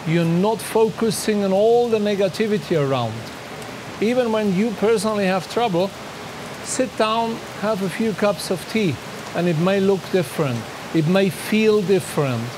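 A man in his fifties with a German accent, talking calmly and reflectively about tea in a documentary. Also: high-pitched, background noise. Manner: calm, unhurried, reflective. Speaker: tea master. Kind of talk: documentary narration and on-location talk.

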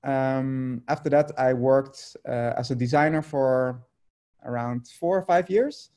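Man, 30s, Dutch native speaker, mellow warm tone, halting with lots of ums, video call from home. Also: mid-range voice. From Netherlands. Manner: hesitant, many fillers and restarts. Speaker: artist guest. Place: home studio room.

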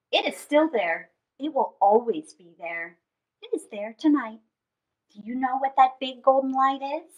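A middle-aged woman hosting a children's story time, reading and chatting in an animated, theatrical way. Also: very high voice. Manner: animated, theatrical, with dramatic gasps and stretched words. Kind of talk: expressive picture-book read-aloud and chat to children. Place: small indoor space with draped fabric backdrop.

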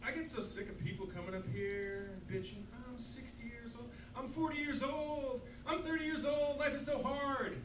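A man's voice: extremely high pitch, steady tone, normal speed, hardly any pauses. A man in his sixties doing a crude, self-deprecating stand-up set on a small club stage, holding a mic. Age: 60s. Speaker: stand-up comedian. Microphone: handheld mic, filmed from far back. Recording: echoey club, distant camera, noisy.

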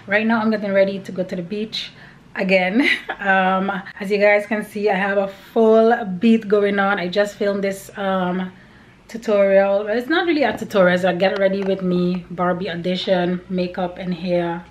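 A woman's voice: mid-range voice, fairly even intonation, moderate pace, echoey room, slight background noise. A young woman vlogger chatting casually and informally to her viewers. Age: young adult. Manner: informal, addressing viewers as y'all. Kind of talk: casual vlog chat to camera.

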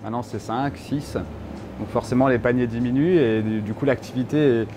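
A man in his thirties, a shop owner, explaining with animated gestures how business and online ordering work in a local TV interview. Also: medium pitch, moderate pace, noisy background. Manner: explains with lively hand gestures. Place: inside a small bulk-food shop.

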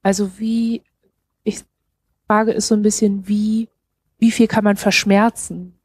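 A woman with a dark, husky voice and a middling pitch asks a question from the audience. She speaks informally and hesitantly, working out her wording as she goes. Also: level tone, a little slow. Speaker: audience member asking a question.

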